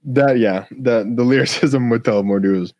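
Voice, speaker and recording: A man's voice: medium pitch, normal speed, darkish tone, no echo. A man hosting a podcast, chatting casually in a blunt, slangy and supportive way. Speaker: podcast host.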